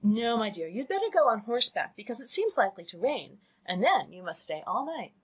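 A woman voicing a fussy, excitable middle-aged mother in a storybook, gushing and emphatic. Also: fairly high pitch, fairly quick, breathy edge, voice pushed up, rough recording. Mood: insistent.

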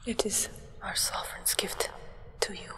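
A woman's voice: pushed and bright, long reverb tail. Woman playing a whispering, solemn vampire's acolyte. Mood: solemn, reverent. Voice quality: breathy whisper. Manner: slow, measured, reverent, formal. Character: solemn, devoted human acolyte of a vampire sovereign. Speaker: ASMR roleplay performer. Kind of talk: whispered ASMR roleplay.

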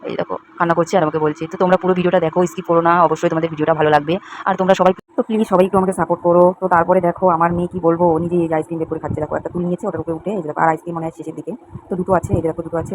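A young woman chatting to viewers in a run-on, repetitive way as she narrates a casual home vlog. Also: on the low side. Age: young adult. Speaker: home vlogger. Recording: clearly noisy home recording, uneven volume.

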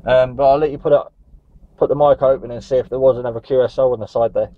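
A man's voice: mid-range voice, steady tone, fast, dry recording. A man from Northumberland in England chatting in a friendly way as a CB radio operator, using radio jargon. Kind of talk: casual radio contact chat. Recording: dull, muffled radio audio.

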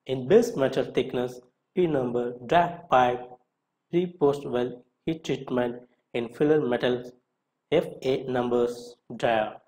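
A man narrating a scripted question-and-answer lesson as an instructor, reading out each question and short answer deliberately. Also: medium pitch, darkish tone, poor audio.

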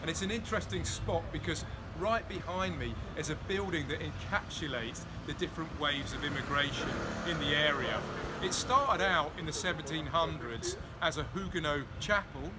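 A man's voice: a little high, moderate pace. A man in his forties leading a walking tour, explaining sights with enthusiasm while walking outdoors in a city and filming on a close phone camera. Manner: enthusiastic, explanatory, pointing things out. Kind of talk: casual on-location tour narration. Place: outdoors on busy city streets. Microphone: handheld phone camera close to face. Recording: outdoor street traffic noise. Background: street and traffic noise.

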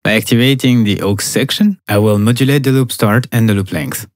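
A man's voice: medium pitch, a little fast. A young adult man giving scripted tutorial narration as a sound designer, recorded in a small home studio.

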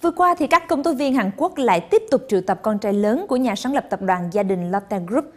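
A woman's voice: fairly high pitch, expressive, a little fast. A young woman presenting the news in a TV studio, addressing viewers in a polished, formal manner. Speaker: news anchor.

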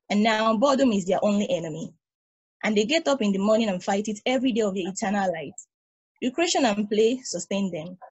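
A woman voicing a calm, philosophical ageless probe, explaining with patient reasoning in a husky voice over a video call. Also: medium pitch, dull audio.

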